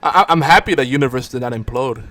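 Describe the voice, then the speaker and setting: A man's voice: medium pitch, fast, a bit bright, no room sound, clean studio sound. A middle-aged man hosting a podcast, chatting casually with a joking, bantering manner. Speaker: podcast host. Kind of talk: casual chat.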